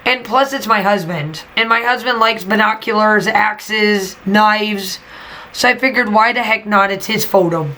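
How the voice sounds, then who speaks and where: Bright-voiced woman, voice going hoarse. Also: mid-range voice, unhurried, a little hiss or hum, low-quality recording. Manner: chatty, venting, emphatic repetitions. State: losing her voice. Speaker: teacher and scrapbooking channel host. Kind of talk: casual voiceover while crafting. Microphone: voiceover mic.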